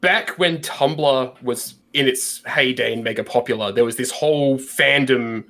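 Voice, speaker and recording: A man recovering from a sinus infection co-hosts a laid-back gaming podcast, stumbling through ums and restarts as he explains things. His voice sits at a middle pitch, heard over a video call. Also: unhurried, fairly bright tone. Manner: hesitant, many ums and restarts, swears casually. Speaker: podcast co-host. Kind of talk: casual chat.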